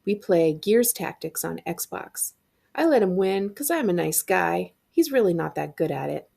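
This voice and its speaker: A woman librarian reading a middle-grade novel aloud in an expressive, acted-out storytelling style. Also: on the low side, measured pace, creaky, husky.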